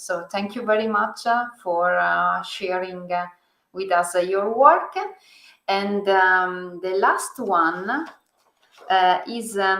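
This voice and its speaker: Halting woman host in an echoey hall. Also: lowish voice, fairly bright tone. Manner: halting, with frequent fillers and restarts. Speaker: event host and interviewer. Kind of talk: live public talk. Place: museum hall.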